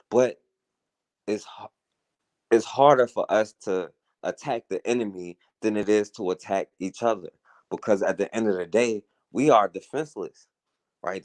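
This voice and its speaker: A man's voice: lowish voice, a little mellow, no echo. A man hosting a talk radio show, talking through a casual, earnest monologue, often saying "you know" and "right?".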